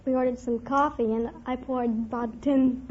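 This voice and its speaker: A woman's voice: high voice, steady tone, normal speed. A young woman answering questions as a quiz show contestant on an old radio recording. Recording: dull vintage radio, faint hiss.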